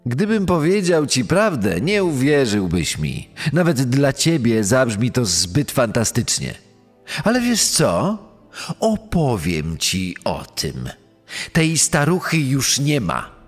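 An actor playing a smooth, arrogant middle-aged killer in a true-crime reenactment, speaking theatrically with deliberate pauses. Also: male voice, mid-range voice, wildly swooping pitch, full character voice. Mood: smug gloating. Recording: long hall reverb over music.

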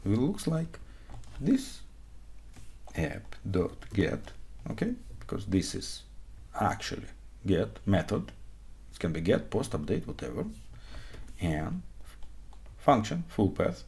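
A man in his forties with a fairly low voice speaks English as a second language. He walks through code at his desk at home, checking in with "okay?" as he goes. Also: a little mellow. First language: not English; speaks Hebrew, Russian, Ukrainian. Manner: explains step by step, frequent "okay?" checks. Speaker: conference speaker. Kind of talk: live coding talk.

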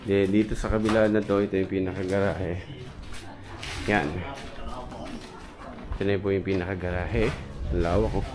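A man in his thirties, a contractor, chattily walking viewers through a renovated house, filming himself with a handheld camera. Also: sits low. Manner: chatty, points things out with repeated fillers. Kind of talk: casual walkthrough vlog. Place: outdoors on a street and inside an empty house. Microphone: handheld action camera.

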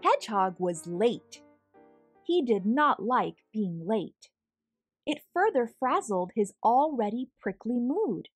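A woman reading a children's picture book aloud in an expressive, deliberate way, pausing between phrases. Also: a little high, soft background music. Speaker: storybook reader.

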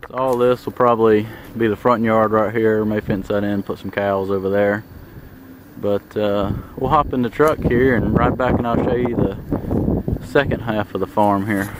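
A man giving a relaxed, plain-spoken tour of his land, walking and then driving. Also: lowish voice, moderate pace. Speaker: landowner showing his farm. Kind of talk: casual walk-and-drive tour narration. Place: outdoors in fields, then inside a moving pickup truck. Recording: clear background noise of truck engine and outdoors.

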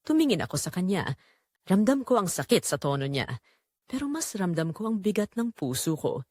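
A woman narrating a scripted romance audio drama in the first person, in an earnest, sentimental, measured way. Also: on the low side, very animated, darkish tone, no room sound, clean studio sound.

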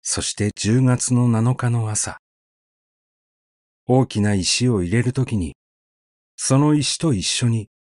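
Man voicing a young female factory worker's letter, quiet, plain, close dry sound. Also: medium pitch, slow, long pauses, no room sound, lightly acted.

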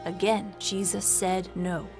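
A woman's voice: mid-range voice, level tone, slow pace, darkish tone. A woman reading scripted narration for a children's Bible story in short, simple sentences. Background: music.

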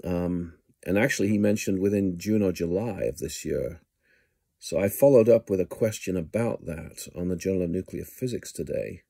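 Middle-aged man, very deep with a gravelly edge, halting um-filled commentary into a close phone. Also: dark tone, dry recording. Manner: halting, frequent ums and restarts. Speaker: news blogger. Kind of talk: casual video commentary. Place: indoors at home, small room. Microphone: phone camera held close.

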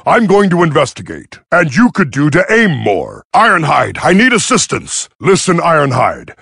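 A gruff robot field medic from a war video game. The voice is husky and gravelly, recorded dry and close. He gives orders and battle reports with urgency and a dry, sardonic edge. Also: fairly high pitch, wildly swooping pitch, normal speed, fairly bright tone, dry recording, heavily acted. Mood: focused, commanding.

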